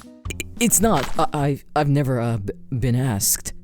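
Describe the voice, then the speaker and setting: A man's voice: mid-range voice, big pitch swings, measured pace, a little mellow, faint music behind. A man playing a shy, flustered swamp elf in a fantasy audio drama, stammering and hesitant. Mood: flustered, bashful.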